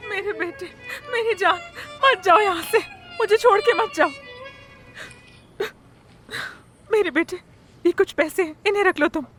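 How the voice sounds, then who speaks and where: A woman's voice: very high-pitched, quick pace, faint music behind. A woman playing an anguished mother in a dubbed drama, pleading tearfully for her son. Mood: tearful, grieving. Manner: tearful, imploring.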